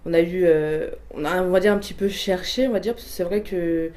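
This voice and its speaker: A woman's voice: on the low side, a little fast, a little mellow. A woman in her twenties giving a personal testimony, hesitant, with many fillers and restarts. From Portuguese family background. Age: 20s. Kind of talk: personal testimony, answering questions.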